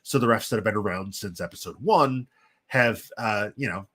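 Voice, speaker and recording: Low male voice, stuffed-up with a cold, casual wrestling commentator, halting chatty delivery. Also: pushed and bright. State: congested, sniffly with a chest cold. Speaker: host and commentator of a simulated wrestling show. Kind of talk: casual live play-by-play commentary with chatty asides.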